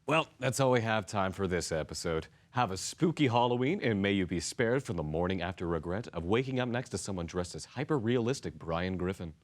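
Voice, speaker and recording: Man acting a lowish-voiced mock-spooky presenter. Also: expressive, fairly quick, hardly any pauses. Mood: mock-solemn. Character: mock-spooky male prankster signing off a show. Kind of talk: comic scripted sign-off.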